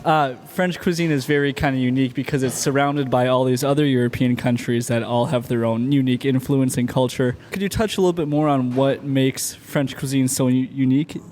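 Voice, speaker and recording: A man's voice: mid-range voice, fairly even intonation, brisk, few pauses, a little mellow. A man, working as a radio interviewer and reporter. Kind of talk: interview questions and radio narration. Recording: faint background noise.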